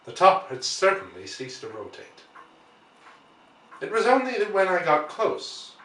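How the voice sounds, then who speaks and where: A man narrates literary prose in a measured, deliberate way. His voice is bright and forceful at an ordinary pitch. The recording is roomy and echoing, picked up some way from the microphone. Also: wildly swooping pitch, far from the mic, slight background noise. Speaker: audiobook reader.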